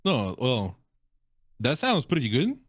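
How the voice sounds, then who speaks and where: A man's voice: mid-range voice, wildly swooping pitch, slow pace, no room sound, clean studio sound. A man who is a native Russian speaker, reviewing game voice lines in a casual, chatty and jokey reaction conversation.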